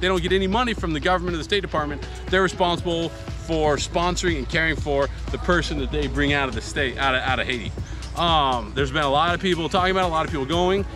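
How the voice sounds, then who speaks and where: A man in his forties giving a casual, upbeat video update outdoors by a cornfield, with filler words and music behind. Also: a little high. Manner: upbeat, chatty, frequent fillers. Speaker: farm nonprofit founder.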